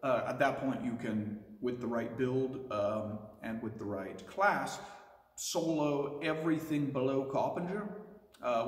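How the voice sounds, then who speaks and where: A man's voice: medium pitch, far from the mic. Wry Southern man, echoey and off-mic. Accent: Southern US (West Tennessee). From West Tennessee, USA. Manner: dry, wry asides delivered matter-of-factly. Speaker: gaming YouTuber answering viewer questions. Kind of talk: casual rambling Q&A vlog. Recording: roomy reverberant sound from off-mic.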